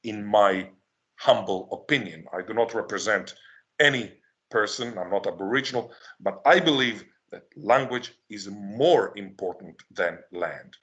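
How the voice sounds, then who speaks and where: A man whose first language is Hebrew, giving an academic lecture, answering his own questions emphatically and slowing down to stress key words. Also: on the low side, a bit bright, muffled recording.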